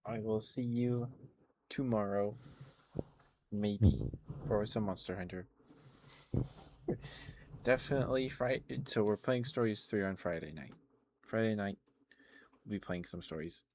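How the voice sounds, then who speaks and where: A man hosting an ASMR livestream, chatting casually and playfully with viewers in a halting way. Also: lowish voice, darkish tone, slight background noise. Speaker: livestream host.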